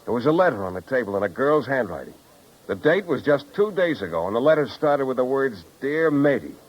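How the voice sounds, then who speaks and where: An actor playing a wry, tough, world-weary private detective in a 1950s radio drama, with slangy, wisecracking delivery and narration. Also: male voice, medium pitch, very animated, brisk. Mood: brooding, wry. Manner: hard-boiled, wisecracking, slangy. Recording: dull vintage 1950s radio recording.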